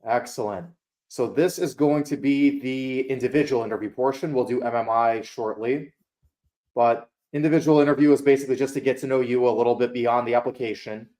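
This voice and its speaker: A man conducting a mock PA school interview, asking questions in a measured, formal way. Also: medium pitch, fairly even intonation, dark tone.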